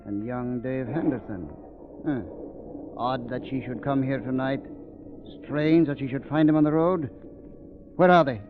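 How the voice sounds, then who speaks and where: A man's voice: medium pitch, noisy background, natural voice. Man acting a sinister doctor, muffled old radio. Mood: cool, suspicious. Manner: deliberate, mocking, interrogating. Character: sinister villainous doctor, menacing, coldly mocking man. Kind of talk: 1930s radio thriller acting. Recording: muffled, dull 1930s radio recording.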